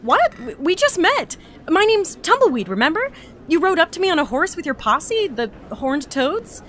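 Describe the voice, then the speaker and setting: A woman's voice: very high voice, sing-song, moderate pace, fairly bright tone, exaggerated voice. A woman playing a bewildered, politely exasperated young otherworldly newcomer in an audio drama, hesitant and dryly sarcastic. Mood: confused. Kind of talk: audio drama acting with log narration.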